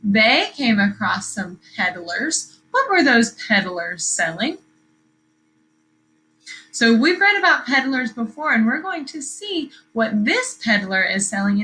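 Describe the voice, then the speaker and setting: A woman's voice: on the high side, sharp tone, low-quality recording. A woman reading a picture book aloud as a teacher to young children, explaining and asking questions as she goes. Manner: explanatory, questioning, carefully enunciated.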